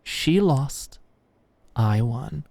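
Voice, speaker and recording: A woman's voice: very deep voice, expressive, very slow, vocal fry, mellow, no echo, exaggerated voice. A woman playing a cocky, adoring young British businesswoman in a scripted audio roleplay, smug and teasing. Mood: smug, confident. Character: Alex, cocky, adoring young queer businesswoman celebrating with fiancée.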